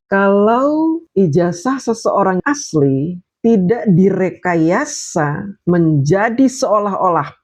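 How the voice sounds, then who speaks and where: A man's voice: high-pitched, darkish tone, dry recording. A man giving scornful political commentary over video clips, mocking his opponents.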